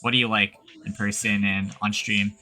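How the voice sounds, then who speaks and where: A man hosting a casual livestream podcast, speaking in a relaxed way with friendly slang. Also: on the low side, normal speed, soft background music. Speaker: podcast host.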